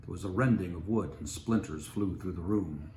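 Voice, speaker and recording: Male storyteller, low-pitched, narrating prose; echoey room. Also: moderate pace, darkish tone. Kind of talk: story narration, reading aloud. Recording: roomy, noisy home recording.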